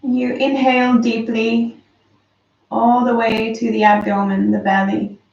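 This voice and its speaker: A woman slowly and softly guiding a breathing meditation, calm with long pauses. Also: a little high, vocal fry. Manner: slow, soft, calm guidance with long pauses. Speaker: meditation teacher. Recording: roomy, distant, dull lo-fi sound.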